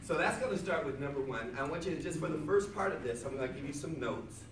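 A man leads a motivational seminar without a microphone, projecting loudly and hammering his points home by repeating them. His voice rings around a hotel conference room and reaches the camera from far off. Steady audience noise sits underneath him. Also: a little high, quick pace, reverberant. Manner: loud, emphatic, repeats key lines to the crowd. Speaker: seminar speaker. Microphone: far camera at back of room, no microphone.

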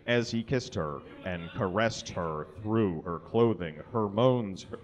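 A man's voice: fairly low pitch, takes it slowly, highs cut off. A man in his thirties reading a story aloud to an audience into a microphone on a small stage. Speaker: reader at a live comedy show. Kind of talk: dramatic reading of fan fiction to an audience. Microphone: handheld or stand microphone.

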